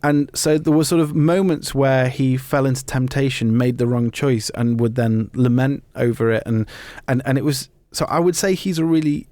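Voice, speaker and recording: A man's voice: mid-range voice, normal speed, few pauses, darkish tone, no echo. A man hosting a podcast, talking conversationally about faith in an earnest, explanatory way. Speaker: podcast host. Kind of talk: conversational talk on faith and football.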